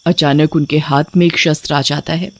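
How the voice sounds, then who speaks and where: A woman's voice: deep voice, steady tone, moderate pace. Woman narrating a horror story.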